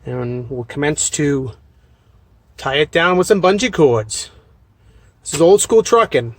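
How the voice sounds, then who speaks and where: A man's voice: on the high side, animated, unhurried, no room sound. A man, a trucker hosting a casual, plain-spoken step-by-step demonstration. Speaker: trucker and vlog host. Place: inside a truck cab. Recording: noisy truck cab with clicks.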